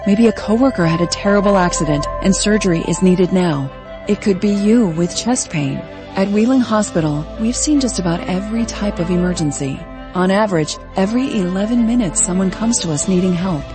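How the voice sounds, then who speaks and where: A woman reading a scripted radio commercial in a calm, serious, reassuring way. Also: mid-range voice, brisk, over music. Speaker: commercial announcer.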